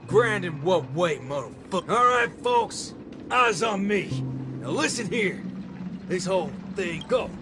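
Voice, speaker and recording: An actor voicing a brash, gruff cowboy gunslinger with a Western American drawl and folksy swagger in a video game. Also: male voice, sits high, expressive, a little slow. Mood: folksy, riled. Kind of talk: video game character acting. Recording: loud game music behind the voice.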